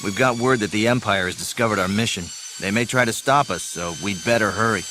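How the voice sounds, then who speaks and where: A man's voice: on the low side, a little fast, a little hiss or hum. An actor playing a cocky, wisecracking male star pilot in an audio drama. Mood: brisk. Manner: brash, wisecracking. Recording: loud music and battle effects.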